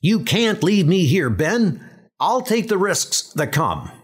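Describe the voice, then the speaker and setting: A man voicing an impetuous, indignant boy in a comic dub, excitable and plaintive. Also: high voice, takes it slowly, slightly rough, full character voice. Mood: insistent. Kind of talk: comic dub character acting. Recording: music under the voice.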